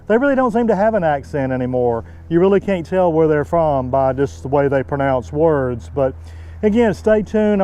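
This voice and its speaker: A man's voice: fairly high pitch, dark tone, clear, resonant tone. A middle-aged man from Southeast Texas with a Texas accent, talking casually to camera as a motorcycle vlogger, drawing out his vowels in a friendly, chatty way. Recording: noisy outdoor background.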